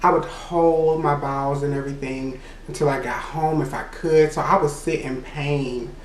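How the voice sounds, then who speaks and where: A man with a high voice telling a personal story in a casual, rambling way, full of "you know" and quick laughs. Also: fairly even intonation, roomy sound, distant mic, a little hiss or hum. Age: adult. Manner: laughs between remarks. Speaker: vlogger.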